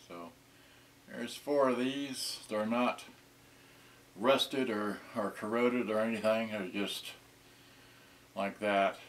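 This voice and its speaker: A man in his sixties gives an off-the-cuff demonstration in a mid-range voice. He talks haltingly, half to himself, while handling things. The camera microphone picks him up from a short distance, with clear noise in the room. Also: mid-range voice. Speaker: vintage electronics unboxing vlogger. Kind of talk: casual off-the-cuff demonstration talk. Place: small cluttered indoor storage room.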